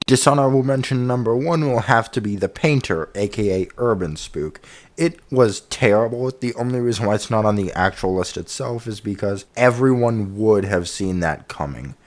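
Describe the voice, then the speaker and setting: A young man with a slightly low voice runs through his picks in an easygoing, unscripted way. He's blunt with his opinions and talks straight to his viewers as a commentator. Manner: candid, opinionated, loose with fillers. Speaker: YouTube commentator. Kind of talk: casual review commentary.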